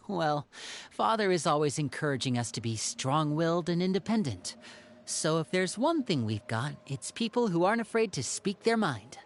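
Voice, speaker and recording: A man's voice: on the high side, very animated, normal speed, slight background noise. A man playing a suave, protective young elder brother in a video game, with an assured, theatrical, probing manner. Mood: friendly, upbeat.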